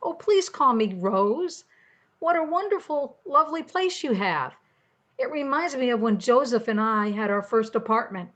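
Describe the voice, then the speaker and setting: Gracious, chatty grandmother, acted by an older woman, high-pitched, old radio soap delivery. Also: expressive, moderate pace, fairly bright tone. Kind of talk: old-time radio drama acting.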